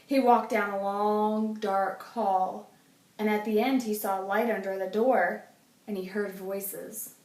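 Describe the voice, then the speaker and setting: A woman teacher reading a children's picture book aloud, telling it expressively and hushing for the spooky parts. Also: medium pitch, fairly even intonation, takes it slowly. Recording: roomy, distant mic.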